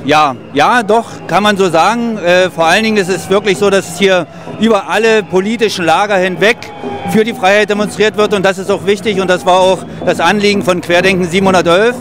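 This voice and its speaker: A man's voice: sits high, steady tone, a little fast, music in the background. A man who organizes protests, giving a fluent, rallying street interview outdoors. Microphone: handheld interviewer mic. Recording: loud crowd behind.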